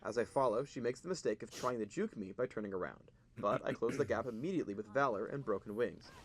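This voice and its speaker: A man giving a scripted, measured, instructional narration of video game play as a tutorial. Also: medium pitch, slightly rough, no echo.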